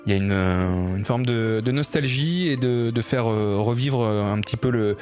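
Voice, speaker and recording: A man's voice: medium pitch, moderate pace, a little mellow. A man hosting a music radio show, chatting casually with many fillers and restarts.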